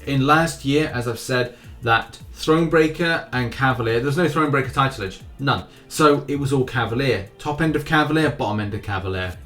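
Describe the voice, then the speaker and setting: A man's voice: medium pitch, moderate pace, few pauses, a bit bright. A man hosting a gaming news video with casual commentary, rambling a little and repeating phrases for emphasis. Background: background music.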